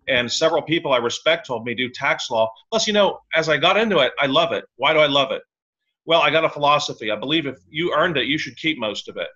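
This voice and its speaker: A blunt, opinionated man speaks as a guest lawyer in a podcast interview. His voice is bright and forceful, and he comes across as wry. The recording sounds slightly dull and lo-fi. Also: medium pitch. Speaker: tax and real estate lawyer, guest.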